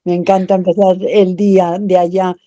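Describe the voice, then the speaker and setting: Dark-voiced Spanish woman, thoughtful. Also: lowish voice, little pitch movement, normal speed, no room sound, highs cut off. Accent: Spanish from Spain. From Spain. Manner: thoughtful, repeats words while searching for phrasing. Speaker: translator and professor. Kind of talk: interview over video call.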